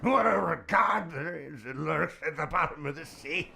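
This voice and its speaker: An actor playing a superstitious, cowardly old boatman with rustic sailor speech and a gravelly voice in a radio play. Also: fairly high pitch, animated, normal speed, sharp tone, heavily acted. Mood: fearful, pleading.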